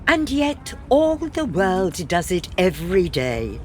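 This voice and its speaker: A woman's voice: on the low side, wildly swooping pitch, slow, a little mellow, heavily acted. A woman acting a sensible, dryly exasperated middle-aged wife in a comic period farce. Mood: dryly practical.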